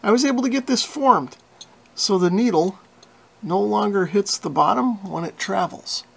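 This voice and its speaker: A man with a fairly high voice and plenty of vocal fry narrates a hands-on repair tutorial. His delivery is informal and folksy, with a self-deprecating streak. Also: normal speed, fairly bright tone. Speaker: radio repair hobbyist and video host.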